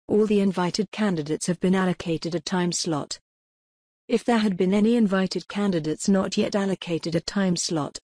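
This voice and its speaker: Low-pitched woman narrating step-by-step instructions. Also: warm tone, dry recording, studio quality. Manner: measured, impersonal step-by-step delivery. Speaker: software tutorial narrator.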